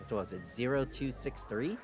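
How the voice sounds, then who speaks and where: A man playing a game and commentating casually, swearing and getting excitable as he goes. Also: medium pitch, a little fast. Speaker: streamer, main player. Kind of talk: casual gameplay commentary and dramatic read-aloud. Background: background music.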